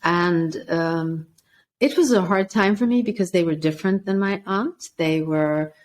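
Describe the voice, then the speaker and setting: A woman's voice: fairly low pitch, unhurried. A woman from Sweden, a podcast guest and author, telling her life story in an earnest, thoughtful way. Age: 40s or older. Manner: earnest, thoughtful, with frequent restarts. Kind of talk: reflective personal storytelling.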